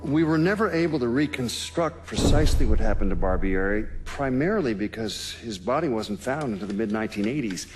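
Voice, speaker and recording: An actor playing an investigator recounting a case, measured and factual, as in a documentary. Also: male voice, medium pitch, slight background noise, lightly acted. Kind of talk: documentary interview acting.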